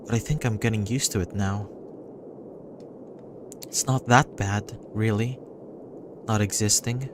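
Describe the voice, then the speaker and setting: A man reading a visual novel as dramatic first-person narration, pausing between short phrases. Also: on the low side, steady tone, background noise. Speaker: reader voicing a visual novel. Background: music.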